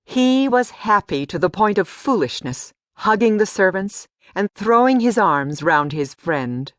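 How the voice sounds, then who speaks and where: A woman narrating a storybook audiobook in an expressive, deliberate way. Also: medium pitch, no room sound, studio quality.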